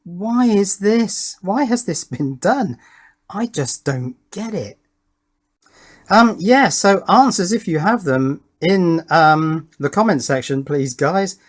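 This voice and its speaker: A man hosting a hobby project video, explaining technical details casually and thinking aloud in a deliberate, questioning way. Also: high voice, expressive. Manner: puzzled, deliberate, self-questioning. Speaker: host of an electronics project channel.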